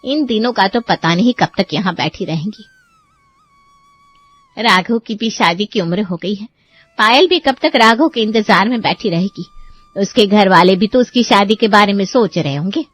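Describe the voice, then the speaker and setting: A woman's voice: mid-range voice, animated, normal speed, no echo, natural voice. Woman acting an exasperated, nagging middle-aged mother. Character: exasperated middle-aged mother scolding her grown children. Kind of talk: Hindi cartoon story voice acting.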